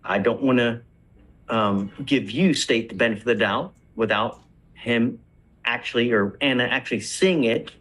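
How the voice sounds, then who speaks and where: Male judge, mid-pitched and a bit husky, stern, admonishing courtroom delivery. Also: moderate pace, a bit bright. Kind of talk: courtroom exchange, addressing lawyers and defendant.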